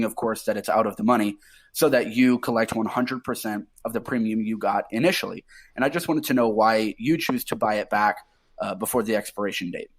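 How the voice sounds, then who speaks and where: A man with a fairly low voice leaves a casual voicemail question. He speaks fluently and informally, laying out what he wants to know in a clear order. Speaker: caller leaving a voicemail question.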